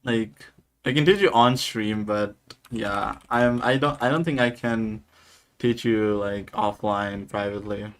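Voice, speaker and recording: A man's voice: on the low side, steady tone, unhurried. A man from Nepal on a live coding stream, casually dictating each line of code and chatting with viewers. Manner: casual, talks through each step while typing.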